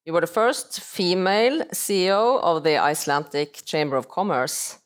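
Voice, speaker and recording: A young woman moderating a seminar on stage, speaking politely and formally in a measured way. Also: lowish voice, lively intonation. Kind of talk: formal onstage interviewing. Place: business school seminar stage.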